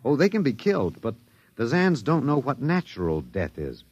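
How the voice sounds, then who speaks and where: An actor playing a wry, detached, philosophical American academic, musing and hesitant, in a 1950s radio drama. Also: male voice, medium pitch, wildly swooping pitch, moderate pace, a little mellow, slightly rough, no echo. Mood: darkly amused. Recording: dull vintage 1950s radio recording.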